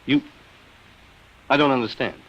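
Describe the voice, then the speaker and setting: A man's voice: mid-range voice, steady tone, unhurried, fairly bright tone, slight background noise, natural voice. An actor playing a bitter, beaten businessman and father, plain-spoken and sardonic, in vintage radio drama style. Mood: troubled. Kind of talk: 1950s radio drama acting. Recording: muffled, band-limited old radio recording.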